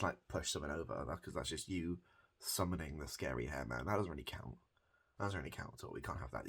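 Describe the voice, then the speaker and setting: A man improvising a softly spoken ASMR comedy roleplay as a hairdresser, rambling and repeating himself in a halting, deadpan way. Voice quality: gravelly, husky low voice.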